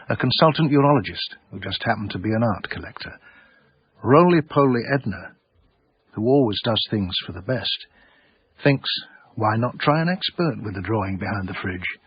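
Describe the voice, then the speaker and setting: A man playing a cultured, sardonic art dealer with an English accent and a husky voice, narrating a radio drama in a measured, literary way. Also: lowish voice, no room sound. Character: wry, cultured, obsessive small-time art dealer narrator. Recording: dry, slightly muffled radio broadcast.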